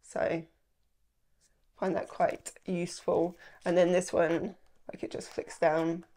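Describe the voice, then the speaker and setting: A woman's voice: on the low side, little pitch movement, slow pace, mellow. A woman, chatting casually to camera about her shopping, a little nasal from a starting cold, rambling with lots of "like" and "um". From England. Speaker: lifestyle vlogger. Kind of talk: casual haul chat to camera. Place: small indoor room at home.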